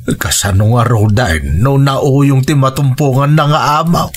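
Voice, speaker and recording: A male actor plays an elderly pensioner father in a radio drama. His pitch is middling for a man. He talks plainly and frets over his family. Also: measured pace, slight background noise, close to an everyday voice. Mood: worried. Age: older. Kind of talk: Ilocano radio drama acting.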